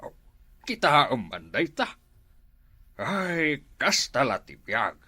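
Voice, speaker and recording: A man with an Ilocano accent and a husky voice playing a gossiping elder relative who stirs up suspicion in a comedy drama. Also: medium pitch, very animated, slow pace, sharp tone, no room sound, heavily acted. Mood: warning, gossipy. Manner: insinuating, warning.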